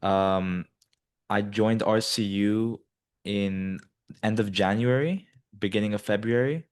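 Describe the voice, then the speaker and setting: A young man in his twenties, originally from Colombia, talks through his answers in a low, easygoing voice. He pads his sentences with ums and you-knows as he thinks out loud. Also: slow, clear, resonant tone. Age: 20s. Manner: many ums and you-knows, relaxed and rambling. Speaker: guest, business student. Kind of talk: casual interview answers.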